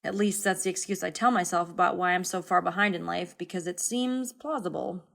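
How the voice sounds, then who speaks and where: A woman's voice: fairly low pitch, brisk, gravelly, fairly bright tone. A woman playing an anxious young cartoon passenger who overshares in a rambling, candid, self-deprecating, naturalistic way. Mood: anxious, confessional. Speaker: passenger in an animated series. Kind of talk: naturalistic confessional conversation.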